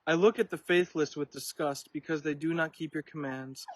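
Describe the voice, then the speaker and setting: A man's voice: fairly high pitch, fairly even intonation, normal speed. A man reading verses of scripture aloud. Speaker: Bible reader.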